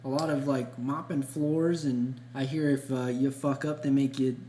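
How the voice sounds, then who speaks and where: A man's voice: mid-range voice, fairly even intonation, moderate pace, dark tone. A man co-hosting a podcast, chatting and commenting in an informal, laid-back, hedging way. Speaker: true crime podcast co-host. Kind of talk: casual chat, commentary. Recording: echoey room with faint background noise.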